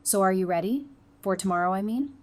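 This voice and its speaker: A woman's voice: mid-range voice, fairly quick, a little mellow, lightly acted. A woman playing a witty, hardened apocalypse survivor in a fan audio drama, with a teasing, conversational delivery. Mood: earnest. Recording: clear background noise.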